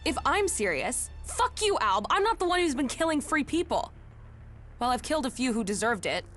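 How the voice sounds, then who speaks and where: A bloodthirsty female outlaw from a post-apocalyptic game. She is mocking and brash, with a fairly high voice and faint music behind her. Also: very animated, a little fast, a bit bright. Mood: angry, incredulous. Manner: sneering, mocking, cocky. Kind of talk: dramatic game dialogue.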